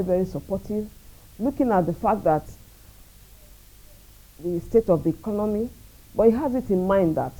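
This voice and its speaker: A Nigerian woman answers interview questions as a radio station executive. Her voice is very dark and mellow, with an average pitch for a woman. She speaks in a measured, earnest and proud way. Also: poor audio.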